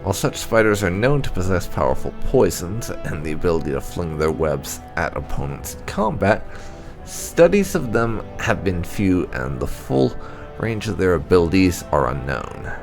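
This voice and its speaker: A man narrating game lore aloud as a playthrough host, in a measured reading manner. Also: low-pitched, sing-song, vocal fry, over music.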